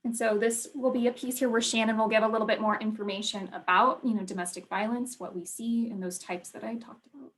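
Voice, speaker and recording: A woman co-leading a virtual training over a video call, speaking gently with many ums and you-knows. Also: on the high side, steady tone, fast talker, hardly any pauses.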